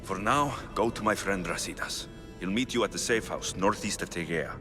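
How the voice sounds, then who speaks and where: A man's voice: deep voice, normal speed. A man playing a determined, defiant young Greek mercenary hero in a game cutscene, blunt and resolute. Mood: reassuring. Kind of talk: video game cutscene acting. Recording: loud music behind the voice.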